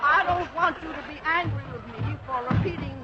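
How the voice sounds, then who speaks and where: A woman's voice: sits high, fairly quick, over loud music, a loud crowd, lightly acted. An actress playing a prim, suspicious older mother of a grown man in a 1940s radio comedy, speaking stiffly. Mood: apologetic. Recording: very noisy, muffled vintage radio recording.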